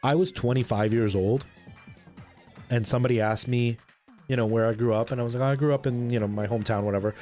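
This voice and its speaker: A young man from Canada chatting casually while live streaming a game, expressive and prone to repeating himself for emphasis. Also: medium pitch, fast talker. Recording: music playing behind the voice.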